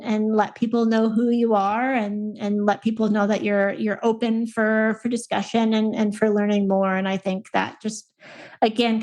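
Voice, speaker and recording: A woman in her thirties explains her field in a relaxed interview, pausing on fillers and restarting her phrases. Her voice sits a little higher than most. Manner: thoughtful, with many fillers and restarts. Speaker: guest, speech pathologist and researcher. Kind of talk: conversational interview answers.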